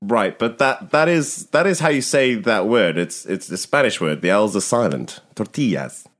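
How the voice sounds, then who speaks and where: Guy acting a baffled cooking host, very lively pitch. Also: normal speed. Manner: baffled, protesting. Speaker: cooking show presenter in a sketch. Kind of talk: comedy sketch acting.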